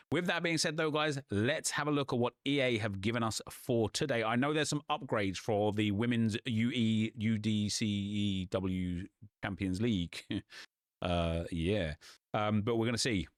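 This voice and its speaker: Brief: young male gaming streamer, a bit hoarse, low voice, rants casually, dry close mic. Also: no echo. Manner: chatty, ranting, quick asides. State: slightly hoarse.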